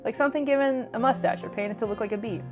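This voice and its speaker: A wry young city renter from a visual novel, read out by a woman player. The voice is high, downbeat and a little hesitant, with music underneath. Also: quick pace, natural voice. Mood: casual. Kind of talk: reading visual novel dialogue.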